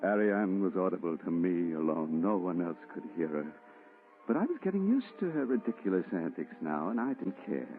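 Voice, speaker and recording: A man's voice: sits low, big pitch swings, brisk, over music. A man acting a haunted, agitated man in an old-time radio horror play, dramatic and stammering, swinging from brooding to frantic. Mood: brooding narration. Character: haunted, agitated man obsessed with a ghostly woman. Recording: muffled, distorted vintage radio recording.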